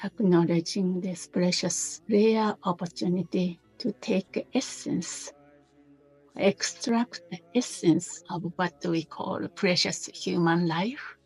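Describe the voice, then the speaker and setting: A woman leads a guided meditation very slowly, in short phrases with pauses between them. Her voice is slightly husky and gentle, at a middle pitch. Faint music plays under her words. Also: rough recording. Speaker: meditation teacher.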